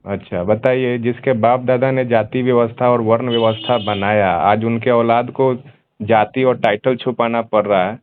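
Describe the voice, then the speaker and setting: A man's voice: mid-range voice, steady tone, normal speed, slight background noise. A man debating heatedly as host on a phone call, insistently piling up rhetorical questions. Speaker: host taking phone calls.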